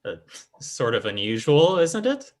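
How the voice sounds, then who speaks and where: A man's voice: a little high, huge pitch swings, a little slow. A man playing a smug, commanding fake spiritualist swindler in theatrical 1940s radio style. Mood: smooth, suspicious. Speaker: actor in an old-time radio drama revival.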